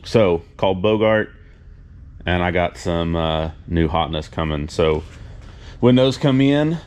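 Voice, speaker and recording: A man's voice: deep voice, expressive, takes it slowly, a little mellow, smooth. A laid-back, plain-spoken man talking casually as he shows truck parts for a workshop video update. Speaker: car builder hosting a shop update. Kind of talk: casual vlog talk while showing truck parts. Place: indoor vehicle workshop garage.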